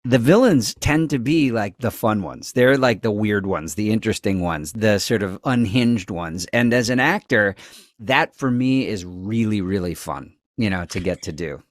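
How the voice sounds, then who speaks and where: A youthful-sounding man chatting casually as an interview guest, thinking aloud in halting, rambling sentences. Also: lowish voice, lively intonation, no room sound, studio quality.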